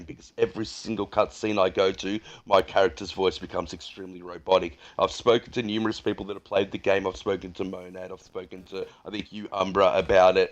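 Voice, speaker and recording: A man's voice: low-pitched, dull audio. A man chatting casually on a gaming podcast, blunt and complaining, with loose filler-heavy talk over a headset.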